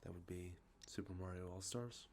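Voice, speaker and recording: A man with a congested, sniffly nose, talking softly and rambling, with many fillers, as an ASMR host showing off games he collected. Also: low voice, fairly even intonation, moderate pace, slight background noise. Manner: rambling, many ums and uhs, self-correcting. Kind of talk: soft-spoken show-and-tell about collected video games. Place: outdoors with traffic nearby.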